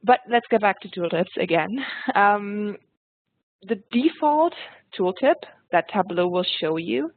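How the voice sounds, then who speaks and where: German woman presenter, friendly tutorial manner, slightly low pitch, dry phone-quality webinar audio. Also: no room sound. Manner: friendly, explanatory, addresses audience directly. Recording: dry tinny phone-like line.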